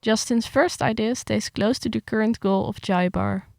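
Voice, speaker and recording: A woman's voice: mid-range voice, normal speed, gravelly, dry recording, clean studio sound. A young woman talks casually about her article, with some hesitation, then reads it aloud steadily. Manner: hesitant with fillers when chatting, steady when reading. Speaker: writer reading her article.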